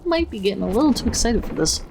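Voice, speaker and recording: A tiny, excitable gnome adventurer, played by a woman, chatters to herself in a high, quick voice. She sounds childlike and eager, like a fantasy audio story heroine, with clear ambience behind her. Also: background noise. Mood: eager, excited. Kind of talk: fantasy audio drama character.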